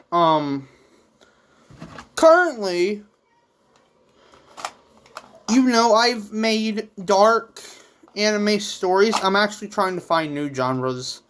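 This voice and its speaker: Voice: medium pitch, clear, resonant tone. A vlog host who makes manga, talking casually and in a rambling way to viewers, with theatrical touches. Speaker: YouTube host and manga creator.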